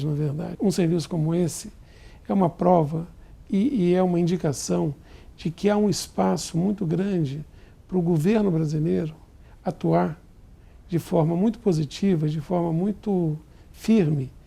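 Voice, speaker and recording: A man with a deep, gravelly edge to his voice and a very dark, mellow tone. He is middle-aged and speaks formally and deliberately. He sounds like a diplomat giving a television interview. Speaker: Brazilian diplomat in Tokyo.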